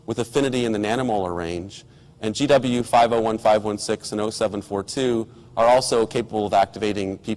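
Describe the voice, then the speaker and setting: A middle-aged man, hoarse from a cold, giving a measured technical science lecture with occasional ums and restarts. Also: lowish voice, darkish tone. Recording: lecture hall with long reverb.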